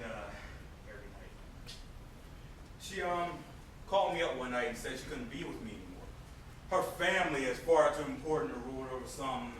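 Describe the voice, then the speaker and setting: A man's voice: medium pitch, natural voice. A man acting in an amateur stage play as a smug, mocking killer obsessed with a lost lover, with a theatrical delivery. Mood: aggrieved, intense. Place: indoor stage performance, recorded from a distance. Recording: echoey, noisy room from far off.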